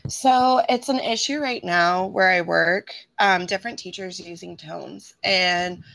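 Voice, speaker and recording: Woman teacher chatting, kinda low and gravelly, lots of um and like. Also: measured pace, a bit bright, rough recording. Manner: chatty, rambling with many fillers. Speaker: preschool teacher, cohost. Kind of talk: casual chat.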